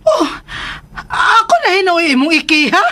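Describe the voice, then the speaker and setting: A woman's voice: very high voice, animated, moderate pace, bright, forceful tone, full character voice. An actress playing a feisty, complaining elderly mother in a Filipino radio drama, with a Filipino accent and an indignant, exclamatory delivery.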